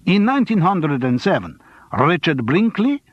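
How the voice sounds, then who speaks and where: A man's voice: fairly high pitch, sing-song, normal speed, dry recording, lo-fi sound, voice pushed up. A man voicing a showy male TV crime presenter in an audiobook, savouring grisly details in a theatrical manner. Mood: relishing. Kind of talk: audiobook character dialogue, presenter patter.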